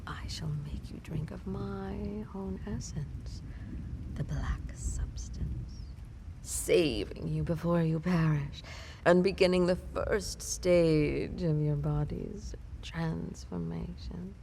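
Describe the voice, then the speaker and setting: A woman playing an ancient, imperious vampire mistress in an intimate audio roleplay, predatory and seductively menacing, with theatrical, breathy half-whispered delivery and drawn-out words. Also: on the low side, fairly even intonation, warm tone, slight background noise. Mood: commanding, ominous.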